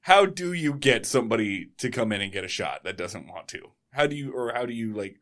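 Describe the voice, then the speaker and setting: Co-host, man, very animated pitch, loose and jokey. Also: brisk. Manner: lively, laughing, loose rambling. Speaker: podcast co-host. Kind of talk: casual podcast chat.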